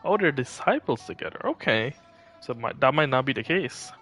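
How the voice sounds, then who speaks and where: A man's voice: mid-range voice, big pitch swings, a little fast, a bit bright. A man giving casual, think-aloud commentary as he livestreams a video game. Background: faint game music.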